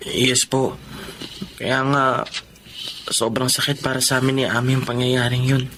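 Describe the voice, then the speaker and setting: A man's voice: mid-range voice, level tone, unhurried, fairly bright tone, a little hiss or hum, close to an everyday voice. An actor playing a humble, respectful young Filipino waiter who provides for his family in a radio drama. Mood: sorrowful. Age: young adult.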